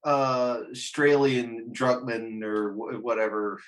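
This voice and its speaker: A man's voice: mid-range voice, level tone, takes it slowly, low-quality recording. A man hosting a casual, unscripted podcast chat, blunt and profane in his opinions. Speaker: show host.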